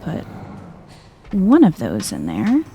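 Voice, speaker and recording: A soft-spoken woman plays a game and muses aloud in a relaxed, understated way. Her delivery is very unhurried, with plenty of creak in the voice, over a noisy bed of ambience. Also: low voice. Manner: chill, understated, musing aloud. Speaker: video game streamer. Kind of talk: soft-spoken casual game commentary, ASMR vibe.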